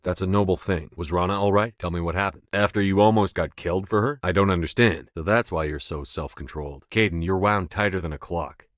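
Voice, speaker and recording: Video game military commander, male actor: low-pitched man, dry studio sound, resolute, measured, quietly authoritative. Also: dry recording, close to an everyday voice. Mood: earnest, intimate. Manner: measured, firm, clipped military delivery. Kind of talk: scripted video game dialogue.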